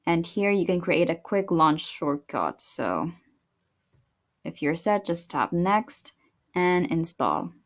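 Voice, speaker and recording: A woman with a slightly low voice narrates a how-to tutorial. She talks through each step in a casual, instructional style, as the host of the video. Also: sing-song, takes it slowly.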